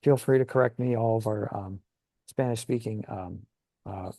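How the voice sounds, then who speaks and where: A man's voice: on the low side, moderate pace, warm tone, no room sound. A man co-hosting a gaming show, talking casually and enthusiastically as he thinks aloud. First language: English. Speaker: co-host of a tabletop gaming show. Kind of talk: casual conversational chat.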